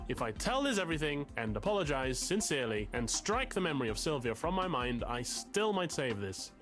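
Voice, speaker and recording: A man's voice: on the high side, very animated, a little fast, over music. An actor playing a self-pitying male writer in a visual novel, musing in a theatrical, florid and anguished way. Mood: despairing, resolute.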